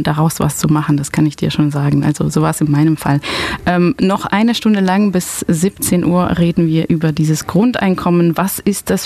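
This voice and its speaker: A woman's voice: deep voice, dark tone, no echo. A woman who is not a native German speaker, hosting a radio talk show from a small padded studio, talking in a hesitant way with many fillers. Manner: hesitant, many fillers, laughs at own word choices. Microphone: studio microphone.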